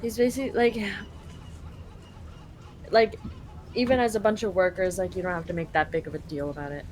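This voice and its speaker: A woman's voice: fairly low pitch, steady tone, brisk, a little hiss or hum. A woman from Canada casually translating a game live, paraphrasing loosely with lots of fillers. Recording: game music behind.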